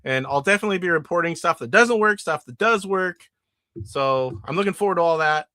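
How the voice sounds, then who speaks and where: A man's voice: fairly high pitch, animated, a little fast, bright, forceful tone, no echo, studio quality. A middle-aged man hosting a casual live stream about retro games, sick and nasally with his voice starting to go. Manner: rambling, demonstrating games live. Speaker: retro gaming show host.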